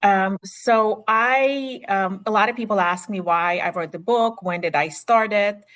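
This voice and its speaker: A woman's voice: medium pitch, measured pace, fairly bright tone. A middle-aged woman from Ukraine with a Ukrainian accent, a nurse practitioner giving a webinar talk in a chatty way full of fillers and restarts, heard over a video call. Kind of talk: webinar presentation.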